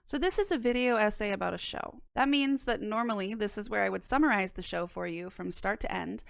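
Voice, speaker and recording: A woman's voice: medium pitch, brisk, gravelly, smooth, dry recording. A young woman narrating a review like a video essayist, conversational and wry, close to the mic. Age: young adult. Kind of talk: semi-scripted review narration with casual asides. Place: small indoor room. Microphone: close microphone on a boom arm.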